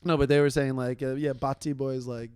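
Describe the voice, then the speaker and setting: A man's voice: medium pitch, little pitch movement, a little fast, a little mellow, clean tone, dry recording. A man hosting a comedy podcast, chatting loosely and joking in a rambling way. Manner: rambling, joking, trails off into mumbles. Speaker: podcast host. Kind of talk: casual comedy podcast chat.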